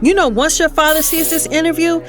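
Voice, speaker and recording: A woman's voice: high voice, fairly even intonation, brisk, over music, close to an everyday voice. A woman playing a sassy, nosy, blunt female confidante in an audio drama. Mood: skeptical.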